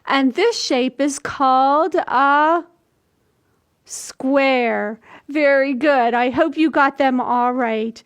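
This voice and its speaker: An older woman in her sixties hosting a children's storytime, reading aloud and explaining things simply and deliberately for small children. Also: sits high. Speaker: library storytime host. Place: small indoor room.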